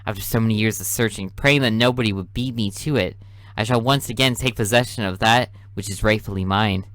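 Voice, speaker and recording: A man's voice: on the low side, brisk, no echo. A man hosting a game playthrough, reading the story narration aloud with casual asides. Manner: reads narration evenly, drops into quiet asides. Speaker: let's play host.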